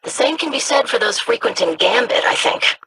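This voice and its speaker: A performer voicing an earnest, studious scribe in a video game, speaking in a measured, scholarly manner. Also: medium pitch, expressive, background noise, close to an everyday voice. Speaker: scribe investigating monsters.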